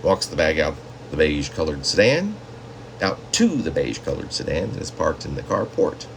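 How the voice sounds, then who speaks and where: Low-voiced man reading with animated swings. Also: normal speed, vocal fry, slight background noise. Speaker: poet reading own work. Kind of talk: literary story reading.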